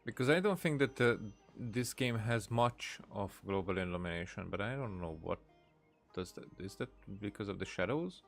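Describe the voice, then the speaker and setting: A man's voice: lowish voice, lively intonation, moderate pace, creaky, faint music behind. A man streaming a game with casual live commentary, musing and hesitant as he thinks aloud.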